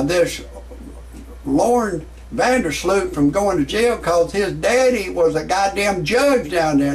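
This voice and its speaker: Gravelly, ranting man in his sixties. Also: sits high. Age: 60s. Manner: ranting, profane, grumbling. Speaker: comedy vlogger. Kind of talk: rambling comic monologue.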